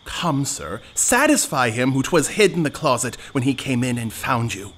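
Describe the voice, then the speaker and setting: A woman's voice: rumbling low, very animated, moderate pace, full character voice. A woman playing a scheming, cheeky male servant in an audio farce, with a bustling, bossy, mock-grand delivery. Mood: cheeky defiance. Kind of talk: theatrical period farce in an audio play.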